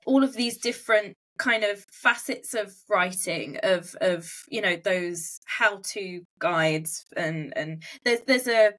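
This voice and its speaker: A woman's voice: medium pitch, takes it slowly, few pauses, fairly bright tone. A woman hosting a casual interview, often repeating words as she forms her questions. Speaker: podcast host interviewing an author-platform founder.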